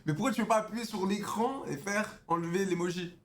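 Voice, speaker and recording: Brisk, slangy teasing young man. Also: sits high. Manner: mocking, slangy banter. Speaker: streamer chatting with strangers on video chat. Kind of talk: casual teasing chat.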